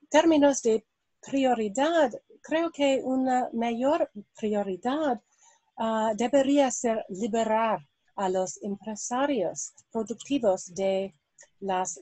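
A woman hosting an interview in Spanish, a language she is still learning, speaking slowly with many hesitations. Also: a little high, dark tone, dry recording, poor audio. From India. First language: not Spanish. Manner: slow, hesitant, many ums and ahs. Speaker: host. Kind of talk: interview, reading prepared questions then chatting.

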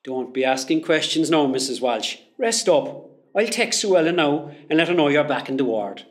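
An actor with a West Cork Irish accent playing a cheeky, teasing young hospital worker in a comedy radio play. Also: male voice, on the high side, expressive, moderate pace, lightly acted. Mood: teasing, reassuring. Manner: teasing, jokey.

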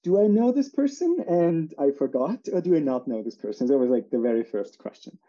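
Dark-voiced man from Austria. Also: on the high side, fairly quick, muffled recording. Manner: relaxed, filler-heavy explaining. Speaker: company CEO, guest. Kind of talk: casual interview talk.

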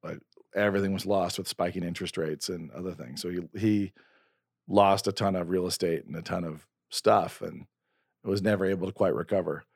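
Low-voiced rambling man in his forties. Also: fairly even intonation, fairly quick, creaky, dry recording, studio quality. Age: 40s. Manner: rambling, restarts often, earnest. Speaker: podcast host and novelist. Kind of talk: casual conversation.

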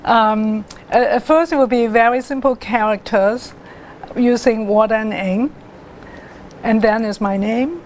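A middle-aged woman, telling her life story as a guest artist in an interview, haltingly, with many restarts and pauses. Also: fairly high pitch, darkish tone, slight background noise. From Hong Kong.

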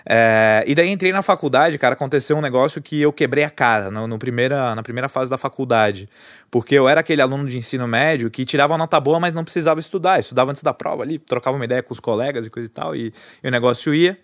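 A man's voice: medium pitch, quick pace, no room sound, clean studio sound. Chatty Brazilian man in his thirties. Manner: relaxed and chatty, with frequent fillers and self-correcting restarts. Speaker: guest, entrepreneur. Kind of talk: casual storytelling interview.

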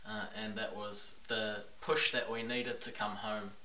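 A man's voice: lowish voice, little pitch movement, measured pace, sharp tone. A man from New Zealand with a New Zealand accent, a podcast guest and business owner talking in a frank, laid-back way. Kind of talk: casual interview chat. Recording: roomy echo, distant mic, faint hiss.

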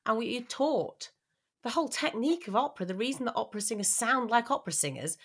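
A woman's voice: fairly high pitch, lively intonation, quick pace, breathy edge. A woman and former opera singer co-hosting a casual podcast, chatty and emphatic as she explains things. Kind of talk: casual chat.

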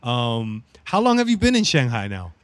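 A man's voice: mid-range voice, huge pitch swings, moderate pace. A man hosting a casual interview, asking easygoing conversational questions. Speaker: host.

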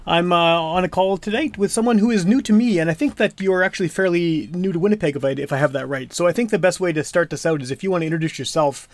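Fast-talking male podcast host. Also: high voice, no echo. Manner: relaxed, rambling questions with fillers. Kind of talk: casual interview.

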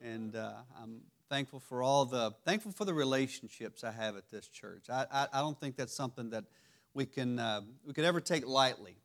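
A man with a raspy voice giving a church teaching sermon, halting and restarting often. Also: mid-range voice, lively intonation, fairly bright tone. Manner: halting, many restarts and fillers. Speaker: lay preacher. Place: church sanctuary.

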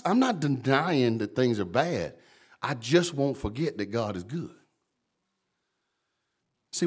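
Big-voiced pastor preaching. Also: male voice, medium pitch. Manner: lively, conversational preaching, calls out congregants by name. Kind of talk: sermon. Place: church.

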